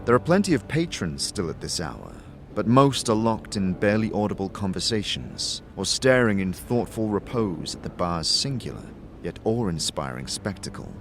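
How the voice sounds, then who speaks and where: A man giving dramatic scripted second-person narration for a fantasy audio series, in a measured, theatrical storytelling manner. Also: lowish voice, big pitch swings. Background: music.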